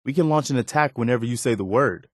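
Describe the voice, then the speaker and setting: An actor playing an earnest, steadfast militia officer companion in a post-apocalyptic video game, speaking sincerely and plainly with a folksy, dutiful manner. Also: male voice, mid-range voice, fast talker, slightly rough, dry recording, clean studio sound, close to an everyday voice. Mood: earnest briefing.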